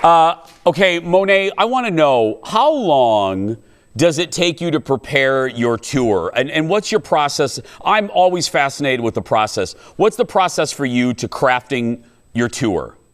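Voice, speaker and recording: A man's voice: a little high, sing-song, a little slow. A man in his fifties hosting a talk show, asking questions with enthusiasm, frequent restarts and playful teasing. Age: 50s. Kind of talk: casual chat.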